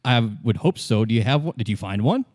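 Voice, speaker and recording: Man playing a flustered, halting hotel manager. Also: mid-range voice, lively intonation, darkish tone, no room sound, clean studio sound, lightly acted. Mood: defensive, apologetic. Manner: defensive, halting, stammering. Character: flustered, apologetic male hotel and bar manager.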